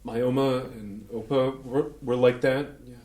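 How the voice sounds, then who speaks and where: A man's voice: mid-range voice, steady tone, measured pace, a little mellow, close to an everyday voice. A man playing a hip, ironic young city man in a scripted staged reading. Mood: casual, hedging. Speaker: actor in a staged play reading. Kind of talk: scripted community theatre acting. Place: indoor playhouse staged reading. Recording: echoey room with background noise.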